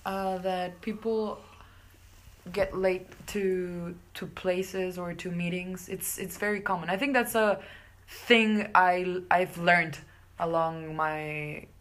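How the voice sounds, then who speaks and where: A woman's voice: lowish voice, fairly even intonation, slow pace, fairly bright tone. A young woman from Mexico whose first language is Spanish, with a Mexican accent. She co-hosts a casual podcast, stops often to search for words and laughs easily. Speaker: podcast co-host. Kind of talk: casual chat.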